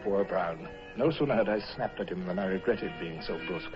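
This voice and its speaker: An actor playing a brisk, brooding British naval commodore in an old-time radio serial, speaking in a clipped, commanding way. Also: male voice, low voice, close to an everyday voice. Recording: muffled old radio recording, faint music.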